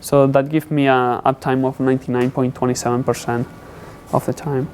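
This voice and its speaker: A man giving a student technical presentation in halting, English. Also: medium pitch, flat delivery, darkish tone, a little hiss or hum. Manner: halting, non-native English phrasing. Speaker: student presenting a capstone project.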